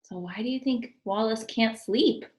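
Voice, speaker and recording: A woman reading a children's storybook aloud as a teacher, expressive and deliberate, explaining and putting questions to her listeners. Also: fairly high pitch, slight background noise. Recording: roomy, echoing sound.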